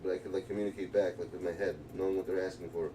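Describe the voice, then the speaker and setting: New streamer, distant and noisy. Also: male voice, low voice, rapid-fire. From New York. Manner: excited, slangy, rambling. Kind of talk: casual gaming livestream commentary. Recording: distant mic with clear background noise.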